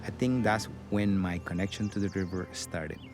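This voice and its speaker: A middle-aged man with a Costa Rican accent and a fairly low voice, speaking as a river guide in a documentary voice-over. His delivery is relaxed and reflective, with casual fillers here and there. Music plays clearly underneath him. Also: normal speed. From Costa Rica.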